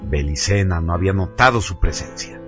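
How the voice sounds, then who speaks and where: A man narrating a radio drama from a script in a solemn, deliberate, theatrical way. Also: low voice, expressive, faint music behind.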